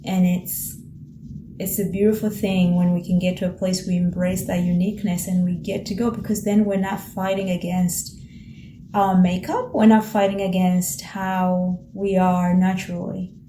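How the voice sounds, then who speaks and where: A Tanzanian-born woman whose first language is Swahili talks through her reflections in a calm, mellow voice, pausing now and then to find her words. Her tone is gently encouraging. The room is noticeably echoey, with a faint ambient hiss underneath. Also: on the low side. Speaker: vlogger. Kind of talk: reflective personal talk to camera. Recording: roomy echo with faint ambient noise.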